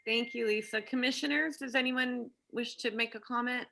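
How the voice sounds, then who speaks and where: Bright, higher-pitched woman chairing. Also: fairly even intonation, moderate pace, gravelly, slight background noise. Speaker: meeting chair. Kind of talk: informal running of a public meeting. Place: online video meeting. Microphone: video call.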